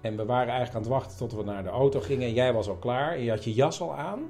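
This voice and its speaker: A man's voice: mid-range voice, racing, warm tone, soft background music. A man, talking casually and telling a personal story as host and narrator. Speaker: host and narrator, interviewing his father.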